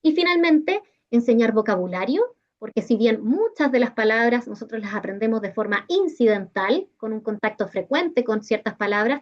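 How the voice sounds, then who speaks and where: High-voiced Chilean woman presenting a workshop. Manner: didactic, checks in with short tag questions. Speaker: teacher-training workshop presenter. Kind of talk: explanatory online workshop presentation. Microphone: video call. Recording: dull, lo-fi video call audio.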